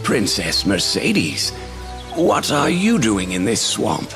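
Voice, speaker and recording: A scheming fantasy game sorcerer. He draws out his mock-polite words with big swings of pitch and theatrical menace, over clear background music. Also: wildly swooping pitch, slow pace, a bit bright, exaggerated voice. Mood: sinister. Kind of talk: theatrical video game acting.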